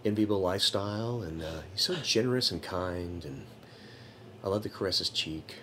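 A man who hosts a comedy show, chatting casually in an interview with playful, deadpan mock-flattery. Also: sits low, moderate pace, slight background noise.

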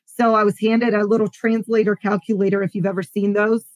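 A middle-aged woman from Poland tells stories on a podcast, playing out what people said and laughing along. Her voice sits at a middle pitch. The recording is dry and close, with no room sound. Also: a little fast, no echo, rough recording. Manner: animated storyteller, acts out quotes, laughs often. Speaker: podcast guest. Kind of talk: casual interview storytelling.

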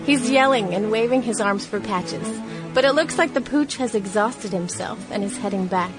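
A woman's voice: mid-range voice, moderate pace, close to an everyday voice, poor audio. A woman voicing a young woman writing diary entries at the beach in 1916, in a radio play. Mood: wry. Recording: music bed behind voice.

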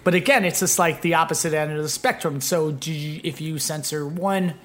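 A man's voice: fairly high pitch, a little fast, a bit bright. A man hosting a casual podcast chat, meandering with many fillers. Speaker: podcast host.

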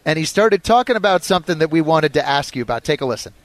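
A man's voice: on the high side, lively intonation, quick pace, dry recording. A man hosting a sports radio show, putting questions to a guest in interview style.

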